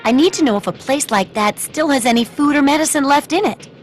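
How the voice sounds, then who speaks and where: A woman's voice: high voice, lively intonation, fairly quick, soft background music. A woman voicing a cheerful, eccentric shopkeeper and amateur researcher in a video game, with a perky, chatty manner. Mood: chirpy, eager. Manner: perky, chatty, singsong questioning tags.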